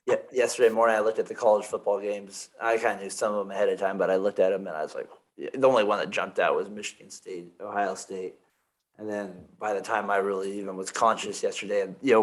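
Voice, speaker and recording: A man hosts a sports podcast in a loose, rambling way, full of fillers and self-corrections. His voice sits low. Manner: rambling, many fillers and restarts. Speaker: podcast host. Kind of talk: casual sports podcast chat.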